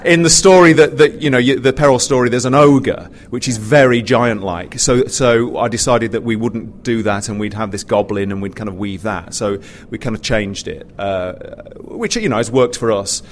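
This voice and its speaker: A man's voice: medium pitch, moderate pace, hardly any pauses. A British man speaking informally on a panel, in a conversational, stop-start way with repeated words. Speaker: panelist, pantomime writer and director. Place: live panel in a theatre. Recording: faint background noise, live event.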